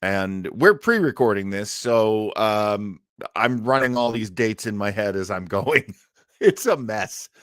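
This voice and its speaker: An enthusiastic man hosts a radio show in a casual, chatty way. His pitch moves around a lot, with showman-like flourishes, and the sound is close and dry. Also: expressive, measured pace, a bit bright, clear, resonant tone, dry recording. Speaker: host of an old-time radio show. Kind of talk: casual chat and show intros.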